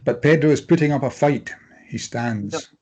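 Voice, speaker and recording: Husky guy narrating a script, mid pitched, reading out stage directions. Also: moderate pace, darkish tone. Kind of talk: scripted dramatic narration in present tense.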